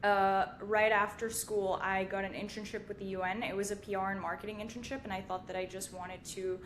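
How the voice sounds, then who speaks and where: A young woman from Syria with a medium-pitched voice talks in a casual, chatty interview style. She drops "like" into her sentences often. There is clear noise and rumble behind her. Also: level tone, a little fast, fairly bright tone. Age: 20s. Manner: chatty, informal, frequent "like". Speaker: podcast guest, finance company partner.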